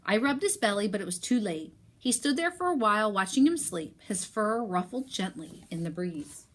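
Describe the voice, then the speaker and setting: Expressive woman teacher reading to children. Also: medium pitch. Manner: expressive, with emphatic pauses. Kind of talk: animated picture-book read-aloud and talk to pupils.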